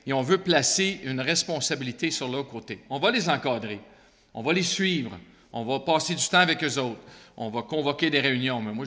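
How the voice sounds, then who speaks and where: A man's voice: medium pitch, sharp tone, big-room sound. A man with a Québécois French accent, speaking earnestly and conversationally as a Bible school leader answering questions at a church gathering. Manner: earnest, conversational, with frequent fillers.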